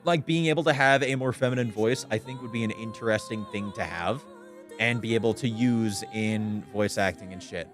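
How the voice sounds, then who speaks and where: A man streaming, chatting casually and candidly with a clear, smooth voice, rambling and swearing freely. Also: on the low side, normal speed, soft background music. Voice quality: clear voice without gravel. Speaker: VTuber streamer. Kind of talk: casual livestream chat.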